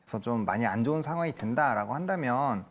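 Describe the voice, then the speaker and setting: A man's voice: mid-range voice, animated, fast. A man speaking as a stock analyst, explaining his opinions in unscripted, conversational market commentary.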